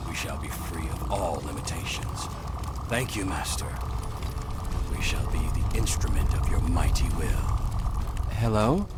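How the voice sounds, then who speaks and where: An actor voicing a serene, devout male cult leader in a video game, soft-spoken and formal. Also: sits low, animated, measured pace, over music.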